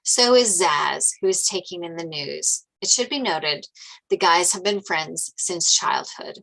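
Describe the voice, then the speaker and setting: A woman's voice: fairly low pitch, lively intonation, measured pace, creaky, fairly bright tone, poor audio. A woman narrating stage directions from a screenplay at a table read.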